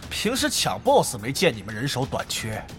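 An actor playing a scheming, hot-tempered guild leader in a Chinese audio drama about an online game, argumentative and calculating. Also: male voice, mid-range voice, huge pitch swings, normal speed, a bit bright, soft background music. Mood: testy, defensive.

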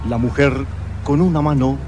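A man reading scripted narration for a radio drama in a suspenseful, deliberate, theatrical way. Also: medium pitch, background noise. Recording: music behind the voice.

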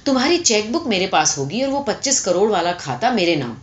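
Woman playing an imperious, animated young heiress. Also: medium pitch, highs cut off, lightly acted. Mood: commanding. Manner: firm, sharp, dictating terms. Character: imperious, calculating young rich heiress, coolly decisive.

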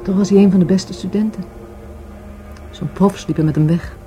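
An actress playing a wistful, grieving lover in a radio play, hesitant and halting as she searches for words. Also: mid-range voice, fairly even intonation, warm tone, slight background noise, close to an everyday voice. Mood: wistful, earnest. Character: grieving, wistful lover of a murdered market trader. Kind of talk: Dutch radio play acting.

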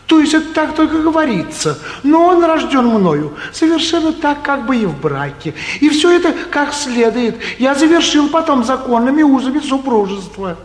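A man's voice: extremely high pitch, big pitch swings, moderate pace, hardly any pauses. An actor playing a fussy, timid provincial landowner and doting father, hesitant, roundabout and deferential, in theatrical 1940s comedy style. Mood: pleading, deferential. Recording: echoey 1949 theatre radio production.

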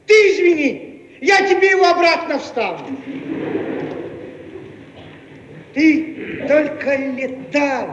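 An actor playing a brash, jovial, practical young comrade with a folksy, teasing manner in a radio play. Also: male voice, very high-pitched, highs cut off. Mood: placating. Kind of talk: Soviet radio play acting. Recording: echoey, faintly noisy archive radio recording.

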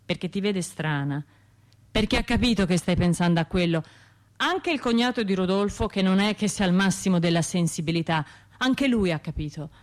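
A woman's voice: on the low side, animated, normal speed, lightly acted. Actress as haunted, wry woman survivor. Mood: haunted, sardonic. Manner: colloquial, confiding, wry, shifting between narration and imagined voices. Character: wounded, bitter woman survivor of a bombing, haunted by memory. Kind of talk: radio theatre monologue.

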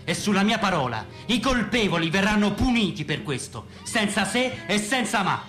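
An actor playing a solemn, grandiose orator in mourning, declaiming theatrically in a radio drama. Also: male voice, high voice, very animated, normal speed, fairly bright tone. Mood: bombastic. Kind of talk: Italian stage-style radio drama monologue. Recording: music playing behind the voice. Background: instrumental music.

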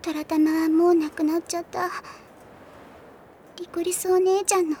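A woman playing a tearful, attached little-sister girl in an anime audio drama, with a childlike voice and halting, earnest delivery. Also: little pitch movement, warm tone, a little hiss or hum. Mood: tearful, distressed. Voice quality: childlike, high. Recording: music bed under the voice. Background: music.

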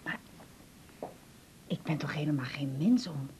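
A woman's voice: sits low, animated, measured pace, dark tone. An actress playing a fussing, self-effacing middle-aged mother in a radio drama, homely and bustling, with short questioning replies. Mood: flustered, reluctant. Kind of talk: naturalistic radio drama acting. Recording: clear background noise and room ambience.

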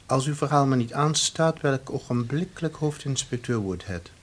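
A man's voice: mid-range voice, lively intonation, moderate pace, lightly acted. An actor playing an irritable, commanding middle-aged husband and father in a radio play. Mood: stern. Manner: stern, indignant, commanding. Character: irritable, authoritative husband and father, woken at night. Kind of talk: Dutch radio play acting. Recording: noisy old radio play recording.

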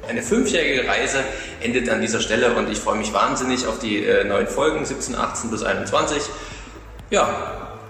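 A man's voice: mid-range voice, normal speed, a bit bright, a little hiss or hum. Very echoey man making informal announcement. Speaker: audio drama producer. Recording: echoey, reverberant social media video clip.

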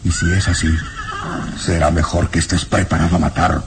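An actor playing an earnest, vengeful village peasant in a radio serial, speaking emphatically and insistently. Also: male voice, sits low, little pitch movement, brisk, natural voice. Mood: urgent, insistent. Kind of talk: old radio serial acting. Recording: noisy old radio serial recording.

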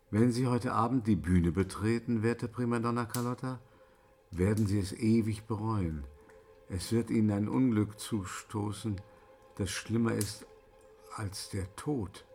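An actor in a radio play voicing an irascible, authoritative opera director with a volatile manner. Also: male voice, on the low side, mellow, a little hiss or hum. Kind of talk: German radio play acting.

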